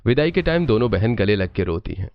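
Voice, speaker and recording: A man's voice: fairly low pitch, very animated, brisk, lo-fi sound. A man narrating a scripted cartoon family story.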